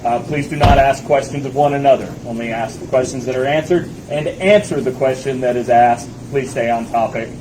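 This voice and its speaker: Loud, firm moderator man laying down rules, with room noise behind. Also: medium pitch. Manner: firm, brisk instructions. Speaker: debate moderator. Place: small meeting room. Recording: noisy room.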